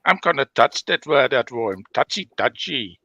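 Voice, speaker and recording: A man talking casually as a player in a tabletop roleplaying game, halting as he thinks aloud. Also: medium pitch, very animated, pushed and bright, no room sound, lo-fi sound. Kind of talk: casual chat during game play.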